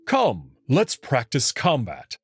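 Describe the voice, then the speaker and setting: A man's voice: medium pitch, huge pitch swings, slow, fairly bright tone, slightly rough, no room sound, clean studio sound, exaggerated voice. A man voicing a confident, teasing and protective alien wolf noble in a visual novel, speaking in an assured, slightly formal way. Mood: coaxing. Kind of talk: visual novel character acting. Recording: music behind the voice.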